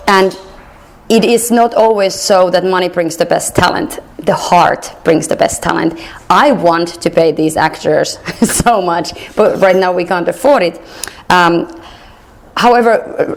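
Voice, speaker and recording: A woman theater director speaking in a halting, earnest way and laughing often in a casual radio interview. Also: lowish voice, creaky.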